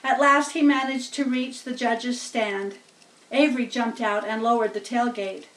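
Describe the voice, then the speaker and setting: A woman reads a storybook aloud in a high voice, taking her time with each phrase. She narrates simply, like reading to children, in an echoey room a little away from the mic. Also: steady tone, measured pace, a bit bright. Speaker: storybook reader. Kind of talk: slow read-aloud narration with casual explanatory asides. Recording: roomy, distant-sounding home recording.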